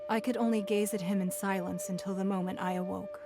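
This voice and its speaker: An actress voicing a composed, dignified female leader in a game cutscene, formal and measured. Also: medium pitch, fairly even intonation, over loud music, close to an everyday voice. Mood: solemn.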